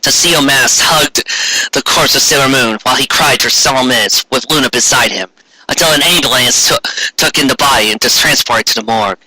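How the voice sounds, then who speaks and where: Bright, slightly husky male story narrator. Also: mid-range voice, a little fast, no room sound, rough recording. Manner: stumbles over words while reading. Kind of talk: dramatic reading of fan fiction.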